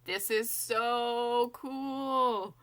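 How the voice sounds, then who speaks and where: A sneering young female zombie from a storybook, acted by a woman reader. Her voice is bright and cutting. She is bossy and impatient, with scorn in her phrasing. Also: on the high side, extremely slow, pitched above the performer's own voice. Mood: giddy.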